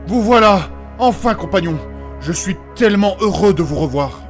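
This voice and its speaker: A man's voice: high-pitched, animated, moderate pace, faint music behind. An actor playing an anxious, courteous healer who speaks formally to his companions in a fantasy audio drama. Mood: relieved, joyful. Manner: formal, addresses others politely as monsieur.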